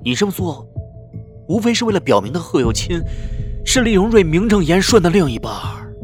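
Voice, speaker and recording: A man's voice: high-pitched, sing-song, fairly quick, darkish tone. A man playing a proud, emotional young man in a modern Chinese romance audio drama. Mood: bitter, hurt. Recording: music behind the voice.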